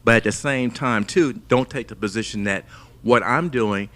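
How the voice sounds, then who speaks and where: A man's voice: mid-range voice, moderate pace, pushed and bright. A man in the audience, a teacher, asking a question in a reflective way. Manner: reflective, conversational. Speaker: audience member, urban teacher.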